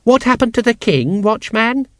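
A man's voice: very high voice, animated, normal speed, no echo, clean studio sound, voice pushed up. A man voicing a small, naive child who chats cheerfully and matter-of-factly about gruesome things in a storybook. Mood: eager, curious.

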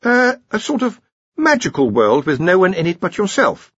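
An eager, apologetic young wizard boffin , as a man puts him on for a storybook. He speaks far higher than the reader, deferential and hesitant as he explains. The recording is slightly dull. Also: huge pitch swings, moderate pace, a bit bright, breathy edge, no echo, exaggerated voice. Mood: nervous, coaxing. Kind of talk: audiobook character voice.

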